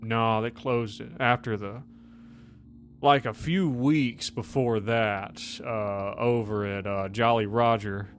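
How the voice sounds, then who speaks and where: A man's voice: medium pitch, fairly even intonation, slow pace, a little hiss or hum, natural voice. A man playing a bitter, injured miner in a tabletop game, grumbling and swearing as he talks. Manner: grumbling, heavily profane. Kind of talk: in-character tabletop roleplay.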